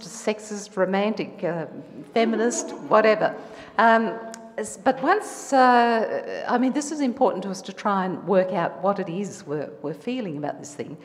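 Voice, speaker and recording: A woman moderating an artists' panel, chatty and wry, with plenty of fillers. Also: medium pitch. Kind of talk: opening remarks at a gallery talk. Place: art gallery room. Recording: reverberant hall with faint noise.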